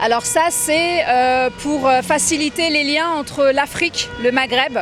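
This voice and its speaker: High-voiced French woman in street interview. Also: normal speed, fairly bright tone, soft background music. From born in Tunisia, grew up in France from age five. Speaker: interviewee, director of a diaspora federation. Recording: outdoor street recording with background noise.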